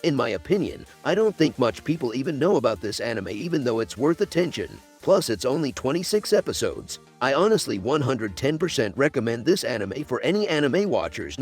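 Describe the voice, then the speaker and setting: A man's voice: medium pitch, husky. A man hosting and narrating a scripted countdown video.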